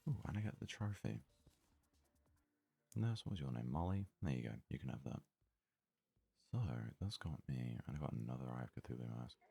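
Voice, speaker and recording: A man doing hushed ASMR gaming commentary with a gravelly, husky voice, casually musing aloud close to the microphone. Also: a bass voice, expressive, moderate pace, long pauses. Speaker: let's play host.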